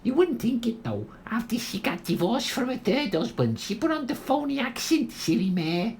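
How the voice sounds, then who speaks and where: A woman's voice: fairly high pitch, big pitch swings, normal speed, creaky, darkish tone, slight background noise. A woman playing a blunt, gossipy Liverpudlian holidaymaker with a Scouse accent in a comedy radio play. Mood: gossipy, scornful. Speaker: actor.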